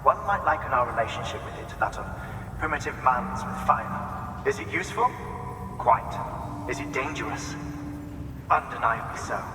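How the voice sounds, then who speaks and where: Actor as formal, measured man in echoing hall. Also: medium pitch, distant mic, natural voice. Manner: formal, measured, rhetorical questions. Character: composed, cerebral male scientist or official lecturing a courier. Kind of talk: scripted video game cutscene dialogue.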